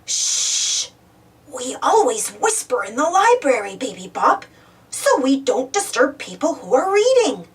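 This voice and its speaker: A woman voicing a gentle bookworm in a storybook, hushing in a whisper. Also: high-pitched, very animated, moderate pace, slightly rough, recorded from a distance, exaggerated voice.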